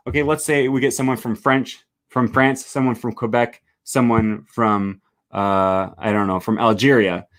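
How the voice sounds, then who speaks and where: A man whose native tongue is English, a language teacher casually explaining his lessons on screen, rambling and correcting himself. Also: medium pitch. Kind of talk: screen-share walkthrough.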